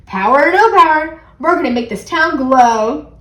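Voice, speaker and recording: A woman's voice: sits high, lively intonation, normal speed, fairly bright tone, roomy sound, voice pushed up. A woman reading the part of an eager, dramatic little girl superhero from a storybook, earnest and exclamatory. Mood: determined, pleading.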